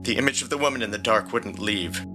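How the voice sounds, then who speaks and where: A man's voice: on the low side, quick pace. A man reading a scripted story as a dramatic narrator, with music underneath. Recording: prominent music bed.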